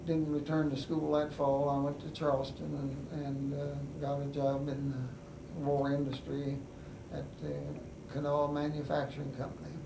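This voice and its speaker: An older man with a West Virginian accent, telling his life story in an oral history interview in an unhurried, halting way with many pauses. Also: fairly high pitch, gravelly. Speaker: veteran interviewee. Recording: echoey room, mic at a distance, noisy.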